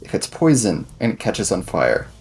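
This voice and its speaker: A man's voice: lowish voice, wildly swooping pitch, normal speed, a little mellow, slight background noise. A man improvising casually in character as a player in a tabletop roleplaying game. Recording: music bed behind the voice.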